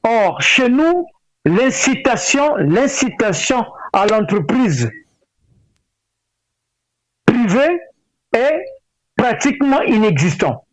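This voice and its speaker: A middle-aged man with a Congolese French accent, speaking as an interview guest in a halting way with repeated words. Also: extremely high pitch, big pitch swings, no room sound. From Brazzaville, Republic of the Congo. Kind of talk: spoken interview, opinionated discussion. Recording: dull lo-fi radio sound.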